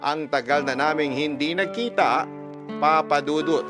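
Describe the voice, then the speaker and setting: A man narrating a first-person love story dramatically, expressive and emphatic, mixing Tagalog and English. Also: a little high, measured pace, music in the background. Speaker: storyteller reading a listener's letter.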